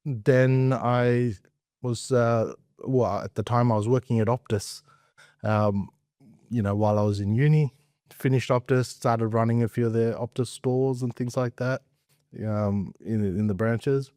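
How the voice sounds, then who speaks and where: Middle-aged Australian male guest, mellow mid-range voice, conversational storyteller. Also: mid-range voice, fairly even intonation, a little slow, dry recording, studio quality. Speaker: interview guest, investment professional.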